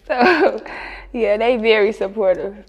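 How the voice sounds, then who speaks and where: A woman's voice: fairly high pitch, breathy edge. Candid Chicago woman in a reverberant space. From Chicago, United States. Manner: candid, slangy, laughs often. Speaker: rapper being interviewed. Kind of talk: casual interview chat.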